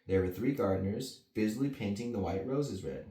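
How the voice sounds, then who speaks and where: A man's voice: lowish voice, a little fast, dark tone. A man reading a bedtime story to children with casual asides. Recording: roomy echo, mic at a distance.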